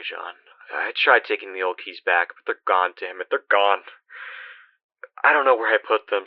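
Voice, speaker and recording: A low male voice comes through dull, lo-fi audio. A man acts out a guilt-ridden, trapped soul in a horror game, confiding in halting, weary phrases. He sounds like a desperate older brother who swears as he talks. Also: no room sound, close to an everyday voice. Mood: despairing, guilty. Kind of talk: horror game phone-call voice acting.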